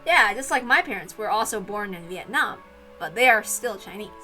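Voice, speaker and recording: A woman's voice: mid-range voice, animated, moderate pace, bright, forceful tone, soft background music. A woman reading a visual novel aloud as narrator, breaking into casual, chatty asides.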